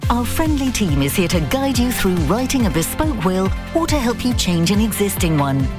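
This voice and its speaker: A woman's voice: mid-range voice, very animated, normal speed. A woman voicing a scripted radio advert in an upbeat, selling tone. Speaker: advert voice-over. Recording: music bed behind voice.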